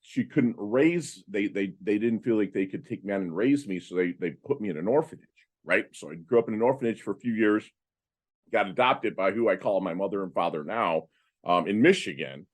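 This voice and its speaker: A man's voice: on the low side, brisk. Fifty-year-old man telling his story. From Philippines. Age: 50s. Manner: animated storytelling with frequent fillers and restarts. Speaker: podcast guest. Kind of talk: casual interview talk.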